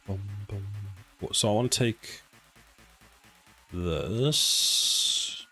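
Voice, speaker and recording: A man's voice: lowish voice, animated, drawn-out words, pushed and bright, faint music behind. A man in his forties from South Africa, with a South African accent, chatting casually with viewers while working on a game during a live stream. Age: 40s. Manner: reads chat aloud and answers, rambling and self-mocking.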